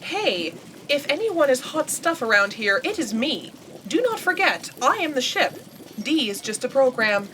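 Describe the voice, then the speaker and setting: A woman's voice: high voice, sing-song, unhurried, noisy background. A woman playing a boastful, eager sentient spaceship in a comedic sci-fi audio drama. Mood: proud, eager.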